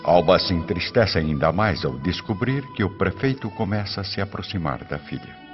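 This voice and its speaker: A man with a very deep voice narrates a radio drama solemnly, his pitch moving expressively. The recording is dull, with music clearly playing behind him. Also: lively intonation, moderate pace.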